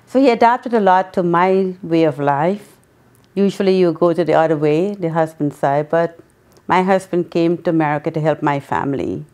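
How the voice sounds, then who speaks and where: A woman in her sixties from Guyana, answering interview questions earnestly, pausing often with ums. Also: fairly low pitch, darkish tone. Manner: earnest, with many pauses and ums. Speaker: guest, memoir author.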